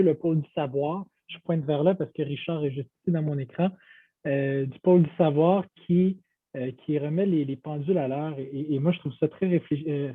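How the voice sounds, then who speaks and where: Guy on a video call, sounds like a phone line, kinda high voice, very dry, lots of euhs and restarts. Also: no room sound. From Portugal. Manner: enthusiastic, lots of fillers and restarts. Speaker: panelist, downtown business association director. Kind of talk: panel discussion on video call.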